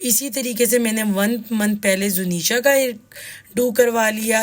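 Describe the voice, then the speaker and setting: A hoarse woman talks over her own vlog footage in a relaxed, chatty way. She speaks straight to her viewers, sprinkling in polite "ji"s and firm little pieces of advice. Her voice sits at an ordinary middle pitch. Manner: chatty, addresses viewers with frequent "ji", opinionated tips. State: hoarse, sore throat. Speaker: vlogger, mother. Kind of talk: casual shopping vlog voice-over.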